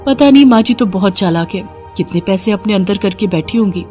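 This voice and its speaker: A woman's voice: medium pitch, lively intonation, a little fast, dark tone, soft background music, lightly acted. A woman voicing a scheming, complaining young city-bred daughter-in-law, whiny and demanding, in a storybook tale.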